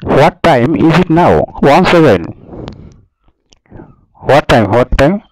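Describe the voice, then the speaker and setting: A man in his twenties with a Bangladeshi accent, chatting casually on a video call in halting English, repeating short simple phrases into an earphone mic. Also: a little high, sing-song, no room sound, low-quality recording. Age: 20s. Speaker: online English conversation partner. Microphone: earphone wire mic held at the lips.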